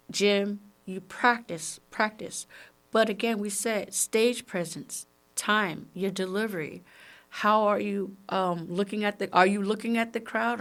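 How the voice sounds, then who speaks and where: Female podcast host, Brooklyn New York accent, medium pitch, conversational and emphatic solo delivery. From Brooklyn, New York, United States. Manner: emphatic, conversational, punctuated with rhetorical questions. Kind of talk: casual solo podcast talk.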